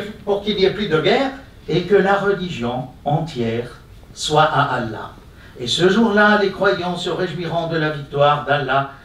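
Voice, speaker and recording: High-voiced man lecturing in an echoey hall. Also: a bit bright, recorded from a distance. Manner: conversational asides with frequent "hein?" and hesitations. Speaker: conference speaker, professor. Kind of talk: lecture at a colloquium. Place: conference hall.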